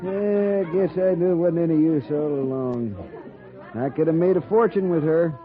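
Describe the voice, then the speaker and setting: An actor as a folksy, rueful frontier showman with a high-pitched male voice. He talks in homely American Western speech. The sound is that of a tinny old radio western. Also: moderate pace, a faint crowd, close to an everyday voice. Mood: dejected. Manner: plain rustic speech.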